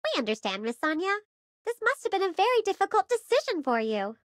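A woman's voice: very high-pitched, sing-song, a little fast, dry recording, studio quality. A woman voicing a small, polite, sensible companion in a video game, with a cute, earnest manner.

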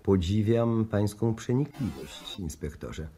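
An actor playing a courteous, guarded Japanese embassy diplomat in a radio play, speaking formally and with measured politeness. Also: male voice, on the low side, animated, dark tone, slight background noise. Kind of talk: 1960s Polish radio play acting.

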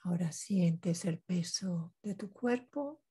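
A woman leading a guided meditation, giving calm instructions in short phrases with pauses between them. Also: fairly low pitch, slightly rough.

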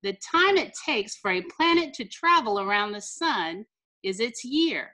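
A middle-aged American woman, a teacher reading a picture book aloud to children with careful, deliberate enunciation over a video call. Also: on the high side, sing-song, bright, forceful tone. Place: small room indoors, on a video call.

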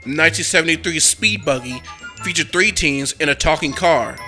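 A man's voice: fairly high pitch, normal speed, sharp tone, faint music behind. A man narrating a cartoon history documentary in a scripted, enthusiastic fan's manner. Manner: enthusiastic, fan-like.

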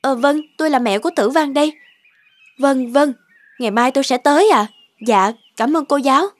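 A woman's voice: sits high, normal speed, close to an everyday voice. A woman voicing an anxious, doting cartoon mother obsessed with her son's grades, emphatic, swinging between scolding and gushing. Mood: polite, concerned. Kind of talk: animated moral tale dubbing. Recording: loud music behind the voice.

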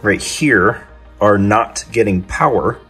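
A man's voice: lowish voice, slow, sharp tone, slightly rough, faint music behind. A young man narrating a DIY car vlog casually and informally, thinking aloud with mild exasperation. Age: young adult.

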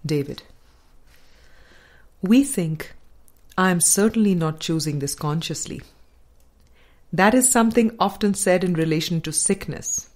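A woman narrates a spiritual audiobook at a very unhurried pace. Her voice sits a little low for a woman, and she delivers each sentence in a measured, formal way. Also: darkish tone. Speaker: audiobook reader. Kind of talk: scripted spiritual book reading.

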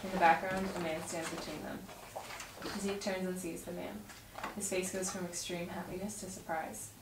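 A woman's voice: fairly low pitch, little pitch movement, normal speed. A young woman reading script stage directions aloud at a table read in a home dining room. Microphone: far camera. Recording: roomy, distant mic, clear background noise.

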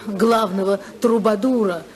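An actress playing a poised, teasing, rebellious society hostess in a 1950s radio drama. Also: female voice, medium pitch, unhurried, lightly acted. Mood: teasing, intense. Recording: 1950s radio, chattering crowd behind.

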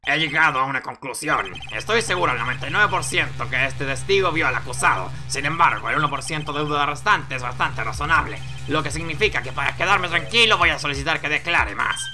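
A man voicing a stern old judge in a courtroom video game, speaking in a measured, formal manner. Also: a little high, expressive, over music, close to an everyday voice. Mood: solemn.

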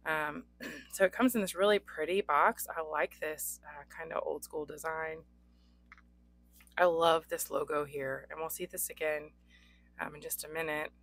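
A young adult woman with a hoarse voice, hosting a casual pen unboxing with frequent ums. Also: sits low, steady tone, unhurried, a bit bright, dry recording. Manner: chatty, frequent ums, occasional coughs. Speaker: pen reviewer. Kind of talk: unboxing chat. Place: indoors at a desk. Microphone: overhead camera on desk, hands only.

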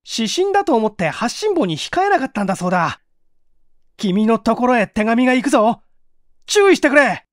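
A man's voice: extremely high pitch, sing-song, moderate pace, no room sound, clean studio sound, voice pushed up. A man voicing an anxious male colleague who talks in urgent agitation, in an audiobook mystery. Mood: urgent alarm. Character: frantic, anxious male colleague shouting over the telephone.

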